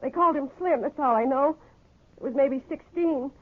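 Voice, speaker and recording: A woman's voice: high-pitched, normal speed, phone-quality sound, close to an everyday voice. An actress playing an anxious young frontier woman, earnest and urgent, in an old 1950s radio western recording.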